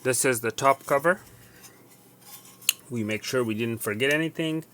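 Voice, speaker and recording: Man giving hands-on tutorial, noisy recording. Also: mid-range voice. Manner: step-by-step, halting with self-corrections. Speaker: tutorial presenter. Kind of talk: casual hands-on repair demonstration.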